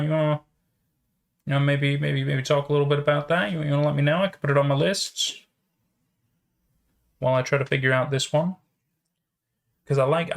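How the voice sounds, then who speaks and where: A man's voice: a little high, vocal fry. A man casually chatting and reacting as a livestreamer, musing aloud and often repeating his phrases. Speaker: streamer reacting to horror videos.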